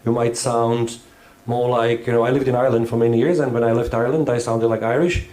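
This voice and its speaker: A man's voice: mid-range voice, little pitch movement, quick pace, a little mellow. A man who is not a native English speaker, giving a hands-on workshop lecture. He thinks aloud and halts often, restarting his sentences.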